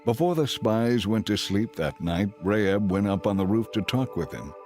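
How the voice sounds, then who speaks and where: A man giving measured, solemn scripted narration for a dramatized audio Bible. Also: lowish voice, lively intonation, a little mellow, faint music behind.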